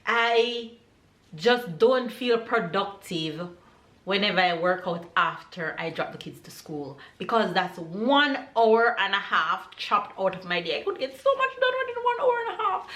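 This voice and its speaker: A woman's voice: medium pitch, fairly bright tone, echoey room. A woman in her thirties with a Jamaican accent, chatting casually for her family vlog, rambling and laughing often. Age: 30s. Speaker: family vlogger.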